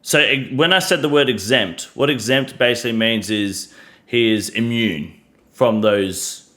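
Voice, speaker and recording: A man's voice: mid-range voice, a little slow, bright, forceful tone. An Australian man hosting a casual interview, slightly drunk, hesitant and full of restarts. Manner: hesitant, many restarts and fillers, careful. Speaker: streamer host.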